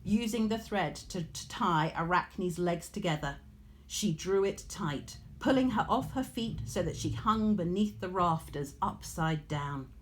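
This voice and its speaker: A woman reading a story aloud in a measured, expressive storytelling manner, like a teacher. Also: fairly low pitch. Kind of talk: scripted storybook narration.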